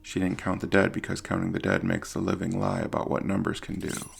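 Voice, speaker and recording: A man with a deep, husky, gravelly voice narrating an audio drama in a grave, literary storytelling manner. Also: flat delivery, fairly quick.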